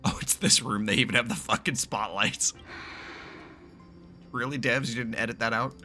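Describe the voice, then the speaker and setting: A man's voice: mid-range voice, fairly even intonation, moderate pace, sharp tone. A man giving casual, blunt, sweary commentary as he reacts to a video game. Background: game music faintly.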